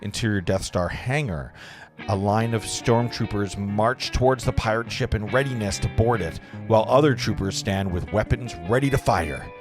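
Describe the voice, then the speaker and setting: A man's voice: on the low side, normal speed, music in the background. A man narrating screenplay stage directions at a live table read, with casual asides.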